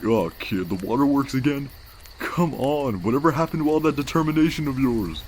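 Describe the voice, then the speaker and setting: A man voicing a weary, wisecracking skeleton big brother in a comic dub, casual and halting, with a tender, secretly anguished streak. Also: mid-range voice, a little mellow, slight background noise, lightly acted. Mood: gentle, serious. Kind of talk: comic dub character acting. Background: background music.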